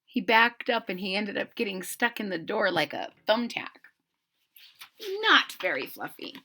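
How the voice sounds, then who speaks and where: A woman reading a children's story aloud with exaggerated, drawn-out emphasis and chatty asides to her listeners. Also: medium pitch, lively intonation, pushed and bright. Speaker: storybook read-aloud host.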